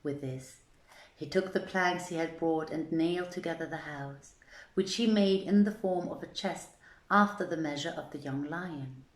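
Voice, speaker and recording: A woman's voice: sits low, normal speed. A woman reading an old tale as a storyteller, in theatrical, formal, archaic phrasing. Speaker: storyteller, reader. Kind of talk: scripted literary narration of an old tale. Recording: echoey, roomy sound.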